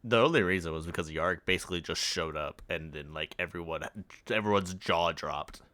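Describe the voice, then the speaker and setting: A man's voice: sits low, a little fast, bright, forceful tone. A man chatting casually and enthusiastically as a podcast co-host. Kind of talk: casual chat.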